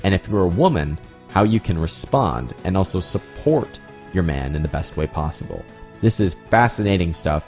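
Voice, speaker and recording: Upbeat low-voiced male podcast host. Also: big pitch swings, normal speed, darkish tone, soft background music. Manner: upbeat, motivational, laughs easily. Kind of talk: scripted intro, then interview questions.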